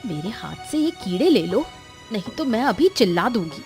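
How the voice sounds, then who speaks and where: A woman plays a squeamish, nagging cartoon wife. Her mid-pitched voice is fretful and insistent as she complains, with soft music behind her. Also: very animated, normal speed. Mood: annoyed. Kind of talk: Hindi moral-story cartoon dubbing.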